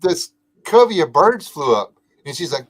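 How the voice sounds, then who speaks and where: A middle-aged man co-hosting a casual podcast livestream, a laid-back joking storyteller with a soothing voice and frequent filler pauses. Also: on the high side, very animated, breathy edge. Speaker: podcast co-host.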